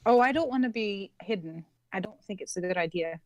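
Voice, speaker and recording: A woman playing a tabletop game over a video call, chatting quickly and restarting mid-thought. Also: mid-range voice, expressive, a little mellow, no echo. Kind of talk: casual planning chat between players.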